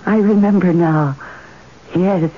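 An actress playing a lonely, timid, dreamy suburban wife who yearns for romance, with hesitant, emotional phrasing in an old-time radio drama. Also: female voice, on the low side, slow. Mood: dreamy, yearning. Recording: muffled, noisy old radio broadcast.

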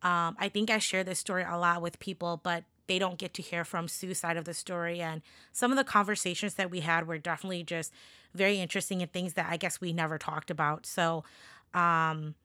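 A woman hosting a casual podcast, slightly hoarse from a cold, chatty and upbeat with plenty of "like" and "um". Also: lowish voice, steady tone, brisk, sharp tone, no echo. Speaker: podcast host.

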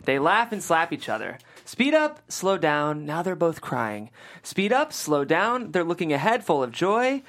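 A woman reading stage directions from a comedy script as scripted narration. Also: sits low. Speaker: stage-direction reader at a script table read.

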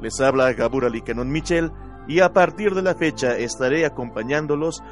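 A man hosting a radio program, introducing its segments in a formal, measured announcing style. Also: mid-range voice, faint music behind. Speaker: radio show host.

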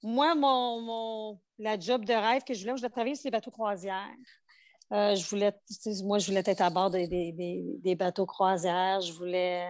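A woman's voice: medium pitch, steady tone, normal speed, darkish tone, no echo. A woman in her forties with a Québécois French accent, a flight attendant chatting in an interview, full of fillers and restarts. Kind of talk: casual interview chat.

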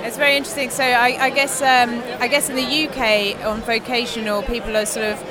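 A woman hosting a podcast, asking brisk, encouraging questions at a conference. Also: a little high, flat delivery. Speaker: podcast host, interviewer. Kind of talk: roving interview at a conference. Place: conference hall. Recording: busy crowd at a conference.

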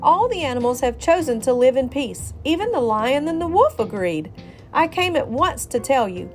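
A woman's voice: sits high, very animated, moderate pace, a little mellow, soft background music, voice pushed up. A woman voicing a cunning male fox who coaxes in an eager, falsely friendly way. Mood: coaxing.

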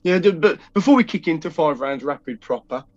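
A man with a head cold co-hosts a casual podcast, his voice sitting a little high and sounding congested. He rambles and restarts his sentences often. The recording is dull and lo-fi. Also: animated, a little fast, darkish tone, no room sound. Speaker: podcast co-host. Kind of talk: casual chat.

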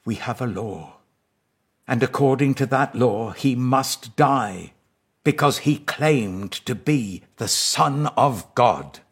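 A man voicing hostile, insistent priests and officials in a dramatised scripture audiobook, loud, drawn-out and demanding. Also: mid-range voice, slightly rough, voice pushed up. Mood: accusing, insistent.

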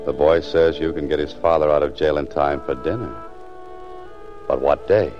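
An actor playing a world-weary, wry writer turned amateur sleuth in a 1940s radio drama, often talking to himself as narrator. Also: male voice, extremely low pitch, fairly even intonation, moderate pace. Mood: wry, resolute. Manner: wry, self-addressing narration. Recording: muffled 1940s radio recording with music.

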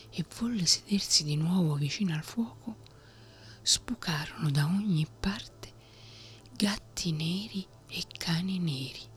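A woman whispering a fairy tale in a soft ASMR reading. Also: low-pitched. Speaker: storyteller.

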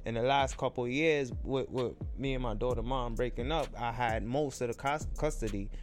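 A young man from Trinidad with an American accent, chatting relaxed as a podcast guest and restarting his sentences as he thinks. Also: medium pitch, normal speed, faint music behind. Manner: relaxed, repeats words and restarts while thinking. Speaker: guest musician. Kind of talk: casual podcast chat.

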